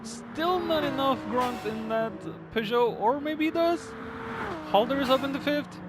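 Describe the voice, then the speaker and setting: A man giving excitable, informal live race commentary with hesitations, his voice strained and starting to give out. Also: very high-pitched, unhurried, over music. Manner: exclamatory, informal, peppered with hesitations. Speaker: esports racing commentator.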